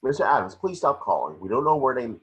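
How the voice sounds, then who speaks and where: A man's voice: mid-range voice, sing-song, fast, breathy edge, lo-fi sound. A man playing an earnest tabloid talk show host in a table read, with a dramatic presenter's delivery. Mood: exasperated.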